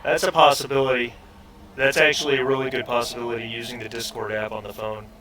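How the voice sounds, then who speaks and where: A man's voice: mid-range voice, level tone, quick pace, poor audio. Man game master with chatter behind him. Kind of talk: casual chat running an online tabletop role-playing game. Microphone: online voice call.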